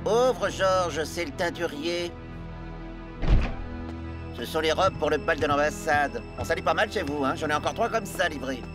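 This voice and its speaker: A breezy, chatty delivery man from a cartoon played by a man. His voice sits a little high, with music clearly behind it. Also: normal speed, natural voice.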